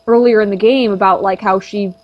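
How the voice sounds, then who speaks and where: A woman's voice: mid-range voice, fairly even intonation, a little fast, lo-fi sound. A young woman co-hosting a let's play, chatting casually with lots of fillers and easy laughs. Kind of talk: casual gaming commentary.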